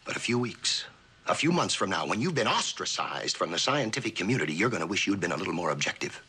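An actor playing a slick, persuasive American spy who talks insistently in an old suspense drama. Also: male voice, sits low, big pitch swings, sharp tone, husky, full character voice. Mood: urgent, pressing. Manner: insistent, fast-talking persuasion. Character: slick persuasive male spy pressuring a scientist. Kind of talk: mid-century American suspense drama acting. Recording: old broadcast audio with music behind.

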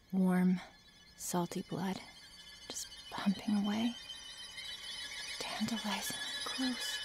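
A woman's voice: lowish voice, darkish tone, husky, heavily acted. A woman playing a prickly, sarcastic but secretly shy vampire girl in an ASMR roleplay, hesitant and sighing, often dropping to a whisper. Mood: teasing, menacing. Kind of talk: ASMR roleplay addressed to the listener.

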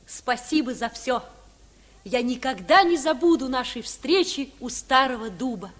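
High-voiced earnest schoolboy Petya. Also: female voice, expressive, measured pace. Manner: sincere, boyish. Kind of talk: Soviet radio play acting.

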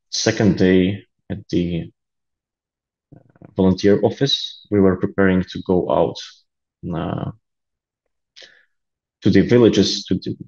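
A man's voice: low-pitched, creaky, darkish tone, lo-fi sound. A young man with a Latvian Russian accent, speaking earnestly on a video call interview, with many ums and uhs. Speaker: interview guest, aid volunteer. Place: small room indoors. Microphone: laptop camera video call.

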